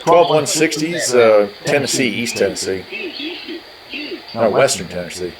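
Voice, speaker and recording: A man's voice: mid-range voice, lively intonation, a little slow, a crowd in the background, poor audio. A man casually talking through a hands-on radio demonstration, step by step. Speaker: radio reviewer. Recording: clear radio static behind the voice. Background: shortwave radio noise.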